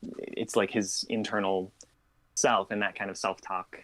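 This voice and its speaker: A man's voice: lowish voice, level tone, a little slow, fairly bright tone, poor audio. A tired man hosting a casual podcast, chatting in an agreeable, easygoing way with short replies. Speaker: podcast host.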